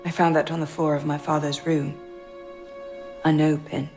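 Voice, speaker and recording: A woman's voice: low voice, flat delivery, moderate pace, darkish tone, soft background music. A woman acting as a grieving young noblewoman set on vengeance in a video game.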